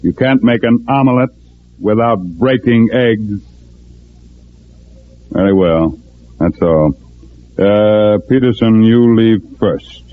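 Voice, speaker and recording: An actor playing a cold, commanding male ringleader, measured and authoritative, in 1940s radio drama style. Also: fairly low pitch. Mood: cold, persuasive. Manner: measured, authoritative, clipped orders. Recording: muffled, narrow-band 1940s radio recording.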